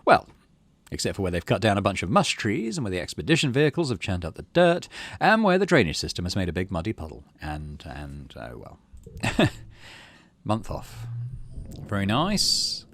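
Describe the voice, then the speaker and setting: A man's voice: sits low, huge pitch swings, normal speed, fairly bright tone, no room sound. A man hosting a game let's play, narrating story text and musing aloud in casual, understated commentary. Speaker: gaming channel host.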